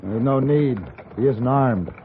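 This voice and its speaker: A man's voice: mid-range voice, unhurried, natural voice. An actor playing a cool, commanding gunman with terse speech in an old radio western. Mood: calm, watchful. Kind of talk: 1950s radio western acting. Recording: phone-like, noisy old radio recording.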